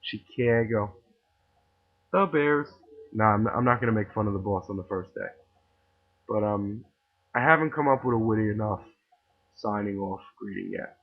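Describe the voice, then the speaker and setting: A man's voice: on the low side, normal speed, pauses often. A man with a New York accent hosting a podcast, ranting in an opinionated, sarcastic way and rambling with frequent restarts. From New York City. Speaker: podcast host. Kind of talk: casual ranting monologue. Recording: tinny phone-like audio.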